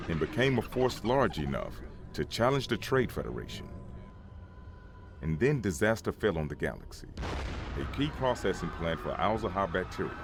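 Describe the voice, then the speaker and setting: A man acting a grave Jedi elder telling the history of a war. The voice is very deep and swings expressively as he solemnly narrates, with music clearly behind him. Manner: solemn storytelling. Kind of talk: dramatic scripted narration.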